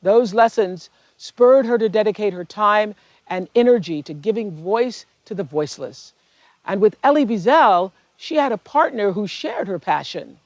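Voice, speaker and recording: A man's voice: high-pitched, lively intonation, moderate pace, no echo. A man hosting a tribute event, giving a formal scripted introduction before a film segment. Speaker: event host. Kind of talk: scripted presentation. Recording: dull, dry recording.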